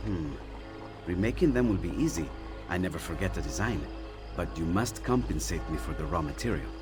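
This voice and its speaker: Deep voiced italian inventor guy, earnest n apologetic, video game with music behind. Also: animated, fairly quick. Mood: wry. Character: earnest, apologetic Renaissance inventor, proud of his designs. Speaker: video game supporting actor. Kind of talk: dramatic game dialogue.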